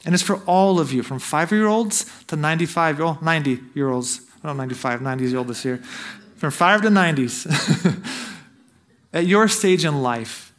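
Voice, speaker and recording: High-voiced man preaching a sermon. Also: moderate pace, fairly bright tone. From Brazil. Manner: earnest, exhorting, asks the listeners questions. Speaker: missionary preacher.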